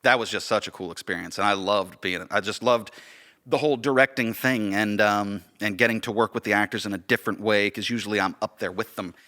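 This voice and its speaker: A man's voice: on the low side, fairly even intonation, fairly quick, bright, forceful tone. A man chatting casually and enthusiastically on a podcast as a theater director and actor, with emphatic delivery.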